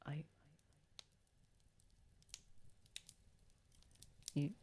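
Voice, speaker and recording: A man playing a posh, gentle, protective vampire in an ASMR roleplay, soft-spoken and often dropping into a whisper, with formal wording. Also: fairly quick, slight background noise, natural voice. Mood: worried, gentle. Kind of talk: ASMR roleplay audio drama.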